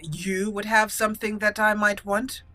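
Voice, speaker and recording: A woman's voice: mid-range voice, flat delivery, moderate pace, a bit bright, soft background music. A woman hosting a let's play, giving roleplay commentary and slipping into regal in-character lines.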